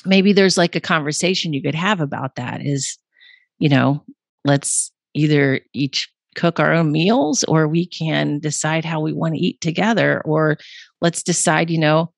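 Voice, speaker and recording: A low-pitched woman with a slightly hoarse voice, chatting casually and rambling through fillers and restarts. The recording is dry and close, with no room sound. She talks as a podcast guest explaining her ideas. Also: unhurried, dry recording. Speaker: guest nutrition author.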